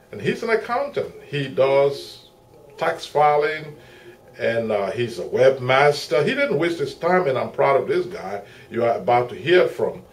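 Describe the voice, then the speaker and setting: A middle-aged man hosting an interview from a small makeshift studio, with expansive, chatty patter. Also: fairly high pitch. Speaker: interviewer and show host. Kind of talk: community TV interview.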